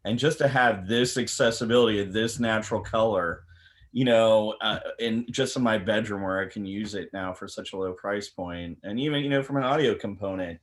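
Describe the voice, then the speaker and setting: A man's voice: fairly low pitch, normal speed. A man talking casually as an expert on a panel, blunt and rambling, often repeating words mid-sentence. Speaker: camera company representative on a panel. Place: online video meeting from a room. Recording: clearly noisy video-call audio.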